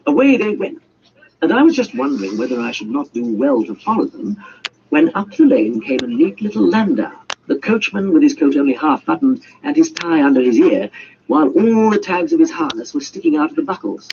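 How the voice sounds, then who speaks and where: Guy reading an audiobook, creaky voice, big swoopy delivery, dull hissy audio. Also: on the high side, big pitch swings, fairly quick, darkish tone. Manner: theatrical, voices dialogue. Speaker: audiobook narrator. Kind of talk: dramatic storytelling, scripted narration. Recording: muffled, noisy amateur audiobook recording.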